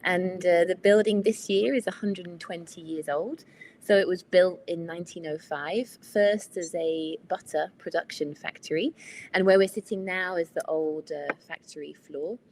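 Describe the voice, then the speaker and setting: Low-voiced woman from the UK. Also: moderate pace. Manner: steady, informative, with many fillers. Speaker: managing director of a cultural venue. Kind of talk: guest explaining on a webinar. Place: former factory hall used as a venue.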